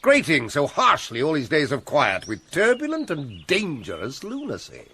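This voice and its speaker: A watchful, scheming king in a Shakespearean play, British accent. His delivery is courtly and commanding, with very wide swoops in pitch, over faint background ambience. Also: wildly swooping pitch, normal speed, bright, forceful tone, slight background noise, heavily acted. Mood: troubled probing. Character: smooth, calculating, watchful middle-aged usurper king. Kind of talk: theatrical Shakespearean radio drama.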